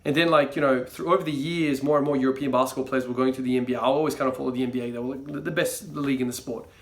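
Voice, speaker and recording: A man's voice: mid-range voice, fairly even intonation, rapid-fire. A young man from Croatia, grown up in Australia, talking casually to camera in a rambling way, full of fillers and restarts. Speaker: fitness vlogger.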